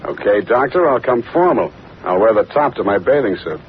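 An American actor playing a tough, wisecracking private detective, clipped and deadpan, in 1940s radio style. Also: male voice, on the low side, brisk. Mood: dry, businesslike. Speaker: lead actor and narrator. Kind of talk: 1940s radio detective acting, hard-boiled narration. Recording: muffled old radio broadcast, loud music.

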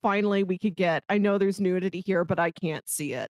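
A woman co-hosting a podcast, chatting casually about movies in an animated storytelling way. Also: fairly low pitch, fairly quick, dry recording. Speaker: podcast co-host.

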